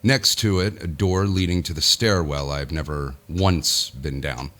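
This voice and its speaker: A man's voice: rumbling low, measured pace, pushed and bright, full character voice. An actor playing a weary, self-important businessman who confides his nightmare theatrically in a horror audio drama. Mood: brooding, ominous. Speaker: lead actor narrating in character. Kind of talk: dramatic first-person audio horror narration.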